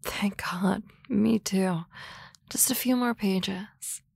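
A woman playing a husky, teasing office boss. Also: medium pitch, slow, no room sound, exaggerated voice. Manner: dry teasing banter, soft whispery asides. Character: teasing office-lady boss, sardonic, lonely single woman. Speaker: ASMR roleplay voice actress. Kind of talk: ASMR roleplay.

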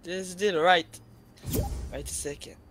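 A man from Greece on voice chat with a streamer, repeating himself insistently in halting, broken English. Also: high-pitched, steady tone, fairly bright tone, a little hiss or hum. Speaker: online player talking to a streamer. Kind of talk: casual voice chat.

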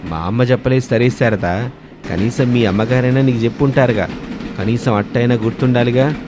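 A man voicing a patient, reasoning middle-aged father-in-law in an animated moral story, gently chiding his wife. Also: mid-range voice, fairly quick, noisy background, natural voice.

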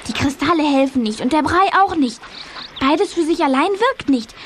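A woman's voice: sits high, animated, normal speed, fairly bright tone. A woman playing a resolute young girl heroine in a children's radio play. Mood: resolute, hopeful. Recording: noisy old cassette radio play.

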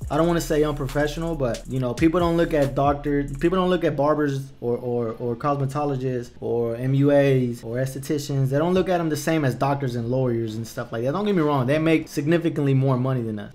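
A man's voice: medium pitch, dark tone. Young man explaining barber pricing, casual. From Texas. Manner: conversational, repeats words while thinking, addresses viewers as "you guys". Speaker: barber teaching business. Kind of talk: casual screen-share tutorial. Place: small room indoors. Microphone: desk microphone at a computer, webcam in corner.

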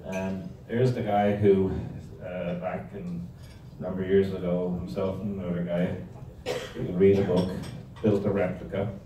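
A man's voice: deep voice, little pitch movement, normal speed, warm tone. An Irish man in his sixties giving a wry, rambling, humorous history talk to a club. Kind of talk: humorous informal history lecture with slides. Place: indoor hall with projection screen. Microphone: handheld or stand microphone, recorded from audience camera. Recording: echoey room, distant mic, noisy background.

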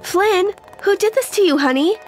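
A woman's voice: high-pitched, animated, measured pace, faint music behind. A woman voicing a doting, scheming young girlfriend in a narrated story, emotional and pleading. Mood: alarmed.

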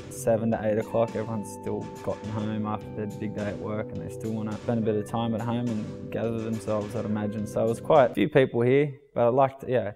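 A low-pitched man, talking loosely in an interview with a flat, even delivery. His tone is mellow and a little dark, and soft music plays behind him. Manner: rambling, casual, loose slang. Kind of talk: casual interview. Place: gallery space. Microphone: lapel mic.

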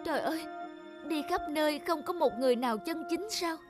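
A woman voicing an earnest, kind-hearted young woman in an animated folktale, with music behind her. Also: sits high, brisk, natural voice. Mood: wondering, uneasy. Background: background music.

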